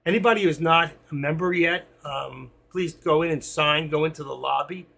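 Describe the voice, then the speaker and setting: A man hosting a live trading stream, talking to viewers while he watches the charts, with quiet asides between his sign-offs. He is losing his voice. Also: fairly high pitch, normal speed, creaky. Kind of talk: live market commentary to viewers.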